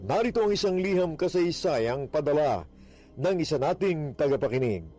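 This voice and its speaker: A man's voice: fairly high pitch, very animated, moderate pace, over loud music. A man with a Filipino accent giving a solemn, declamatory scripted introduction as a radio program announcer.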